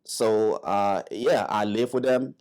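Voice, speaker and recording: A man from Liberia telling his story in an interview, halting with many fillers and restarts. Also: lowish voice, darkish tone. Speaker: interview guest, airman.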